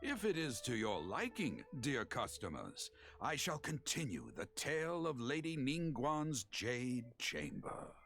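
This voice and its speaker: A grand teahouse storyteller spins florid fantasy tales with courtly flourish. His pitch swoops widely and he takes his time, savoring each pause, while loud music plays behind him. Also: huge pitch swings. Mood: grand, theatrical. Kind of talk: theatrical storytelling in a fantasy game.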